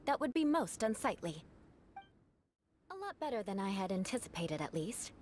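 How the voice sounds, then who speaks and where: A woman playing a proud, composed young aristocratic knight with a formal, measured, wry manner in a video game. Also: medium pitch, sing-song, background noise, close to an everyday voice. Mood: composed, teasing.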